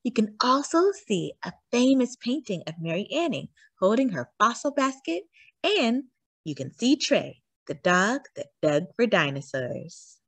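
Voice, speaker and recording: Animated woman reading a children's storybook. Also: a little high, husky, dry recording. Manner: animated storytelling with drawn-out emphasis. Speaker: storytime reader. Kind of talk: expressive children's read-aloud narration.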